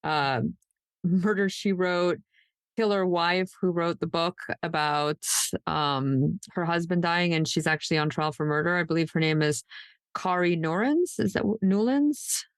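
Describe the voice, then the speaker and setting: A woman's voice: lowish voice, a little slow, smooth, no room sound. A woman hosting a true crime podcast in a casual, loosely unscripted, conversational way. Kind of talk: casual co-hosted podcast chat.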